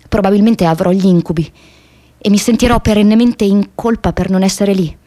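Actress doing a young idealistic woman activist, earnest and disillusioned, confiding monologue for radio theatre, medium pitch voice. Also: dark tone, close to an everyday voice.

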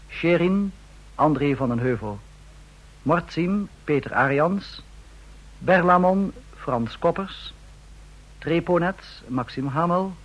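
A man announcing radio drama credits in a formal, deliberate scripted style. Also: fairly high pitch, low-quality recording.

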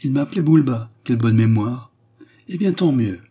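A man's voice: mid-range voice, moderate pace, mellow, slightly rough, close to an everyday voice. A man voicing a blind, brutal commissar in a story, vengeful, domineering and exclamatory, with sneers and rage. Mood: bitter fury.

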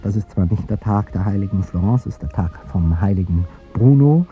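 A man's voice: on the low side, expressive, normal speed, close to an everyday voice. An actor playing an aging, dignified president who hides his heartbreak, speaking with hesitant, formal politeness in a radio drama. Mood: wounded, pointed. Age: older. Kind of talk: 1950s German radio drama acting. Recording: dull 1950s radio recording with music.

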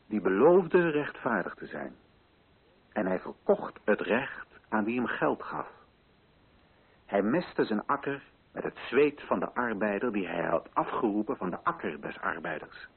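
A solemn colonial official in a radio drama, declaiming to assembled chiefs. His phrasing is rhetorical and deliberate, with wide swings in pitch. The sound is husky and narrow, like an old muffled broadcast. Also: very animated, exaggerated voice. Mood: grave, storytelling. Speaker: actor in a radio play. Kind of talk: declaimed theatrical oratory in a radio play.

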